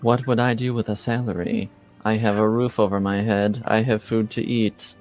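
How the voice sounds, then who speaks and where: A man voicing an emotionless, dutiful mage servant who speaks in a flat, literal, formal way in a tabletop game. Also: lowish voice, normal speed, faint music behind, lightly acted.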